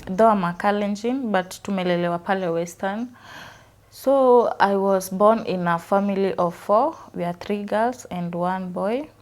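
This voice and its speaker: A woman's voice: medium pitch, a little slow, darkish tone. A Kenyan woman in her twenties from Western Kenya, earnestly telling her life story in an interview and switching freely between English and Swahili. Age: 20s. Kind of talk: personal testimony interview.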